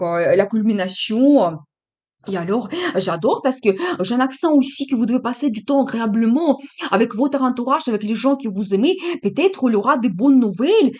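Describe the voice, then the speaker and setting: Higher-pitched woman giving a halting tarot reading. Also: darkish tone. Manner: hesitant, many fillers, encouraging. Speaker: tarot reader.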